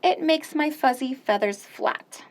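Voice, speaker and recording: A woman voicing a little duckling who fusses over its feathers, in a gentle, slightly high voice. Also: measured pace. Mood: plaintive.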